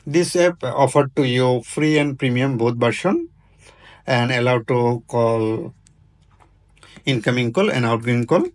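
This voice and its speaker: A male tutorial host talks slowly through step-by-step instructions at a medium pitch. His non-native English comes in short, choppy phrases, with a halting, informal delivery.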